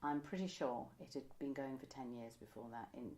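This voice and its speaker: A woman's voice: extremely low pitch, a little fast, warm tone. A woman, reminiscing conversationally as an interviewee. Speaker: interviewee, pantomime costume maker. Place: indoors, room by a window.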